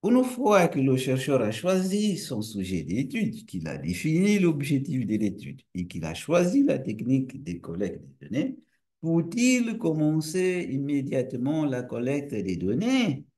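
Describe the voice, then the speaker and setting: A male university lecturer and researcher whose first language is Portuguese, explaining a topic to camera in a relaxed, friendly way, asking rhetorical questions and restarting phrases often. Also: medium pitch, unhurried.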